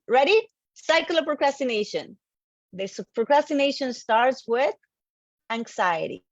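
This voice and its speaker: A woman with a Colombian accent, a native Spanish speaker, giving an animated, emphatic motivational presentation to an online audience and repeating key phrases. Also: on the high side. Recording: dull, lo-fi online audio, slightly roomy.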